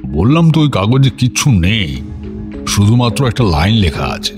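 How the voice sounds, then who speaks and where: A very animated, deep-voiced old grandfather, flustered and defensive. He sighs and protests in a radio drama, over faint music. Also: normal speed. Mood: pleading. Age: older. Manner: defensive, sighing. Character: flustered elderly grandfather defending himself from family suspicion.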